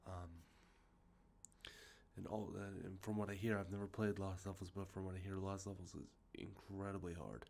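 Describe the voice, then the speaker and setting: A man with a congested, sniffly nose, talking softly and rambling, with many fillers, as an ASMR host showing off games he collected. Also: sits low, steady tone, normal speed, gravelly, a little hiss or hum. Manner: rambling, many ums and uhs, self-correcting. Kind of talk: soft-spoken show-and-tell about collected video games. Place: outdoors with traffic nearby.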